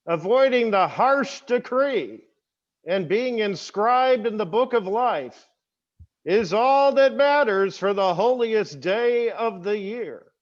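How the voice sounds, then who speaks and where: A man playing a self-important, kvetching fast-day holiday in a theatrical amateur comedy monologue. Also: high voice, highs cut off, full character voice. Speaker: rabbi. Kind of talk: scripted comic monologue, amateur readers' theater.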